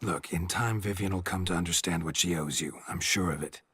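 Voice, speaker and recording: A man's voice: low voice, brisk, a bit bright, husky. A man playing a stoic monster hunter in a fantasy game, laconic, understated and matter-of-fact. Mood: firm, blunt. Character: stoic, blunt male witcher, monster hunter.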